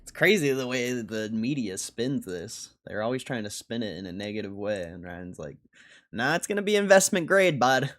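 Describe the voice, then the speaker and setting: A man's voice: mid-range voice, big pitch swings, normal speed, a bit bright. A man hosting a livestream, reacting in casual, slangy commentary and repeating phrases for emphasis. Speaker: livestream host reacting to an interview.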